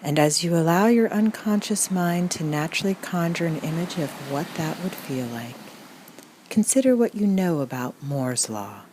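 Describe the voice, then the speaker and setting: A woman narrating a scripted parody guided meditation in a calm, soothing, deliberate deadpan. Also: lowish voice, a little hiss or hum.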